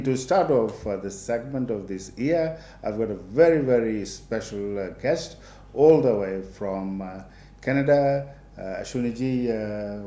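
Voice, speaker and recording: A male TV host opening and guiding an interview, often pausing on fillers. His low, mellow voice carries room echo, with faint ambience behind it. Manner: hesitant, many fillers. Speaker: TV show host. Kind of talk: interview hosting.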